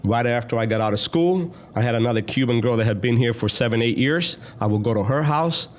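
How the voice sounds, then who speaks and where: Earnest Cuban-born man in his sixties. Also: medium pitch. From Cuba. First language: Spanish. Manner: plain, earnest recounting with frequent "uh" pauses. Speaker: school board president, guest. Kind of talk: conversational interview storytelling.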